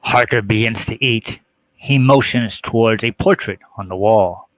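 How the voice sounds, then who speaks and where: A man's voice: fairly low pitch, unhurried, low-quality recording. A man reading stage directions as scripted narration for an amateur drama table read.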